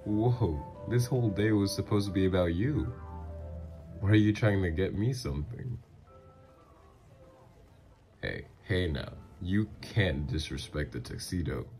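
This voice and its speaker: A man acts out a mafia boss doting on his lover in an ASMR audio roleplay. His voice is extremely deep and gravelly, swinging freely up and down as he flirts. He talks in an intimate, teasing, reassuring way, with music playing behind him. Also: full character voice. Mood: playfully surprised.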